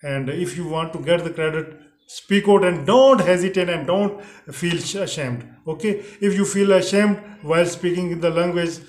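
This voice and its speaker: A man's voice: high-pitched, moderate pace. A middle-aged man, an Urdu speaker, gives a spoken English lesson straight to camera. He talks in a slightly raised register, stopping often on "uh" and starting phrases over. The room around him rings with echo. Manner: hesitant, frequent uh fillers and restarts. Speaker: English teacher. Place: indoors, plain teal backdrop. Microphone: camera in front, head and shoulders framing. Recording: echoey room.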